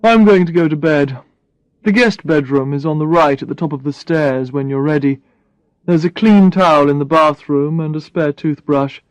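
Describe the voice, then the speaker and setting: A woman's voice: deep voice, moderate pace, no echo, natural voice, rough recording. A woman reading the lines of a kind but tactless young man in a story, casual and blunt. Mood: sleepy.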